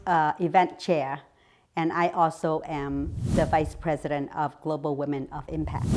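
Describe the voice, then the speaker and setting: A woman from Asia speaking about herself in a personal interview as an event chair. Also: on the low side. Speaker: event chair and nonprofit vice president.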